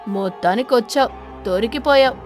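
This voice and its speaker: Woman as a threatening, high-voiced vengeful ghost sparrow. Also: moderate pace, a little mellow, soft background music, lightly acted. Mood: gloating. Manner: threatening, accusing, interrogating. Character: vengeful, menacing female ghost sparrow hunting an eagle. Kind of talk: animated children's story character voice.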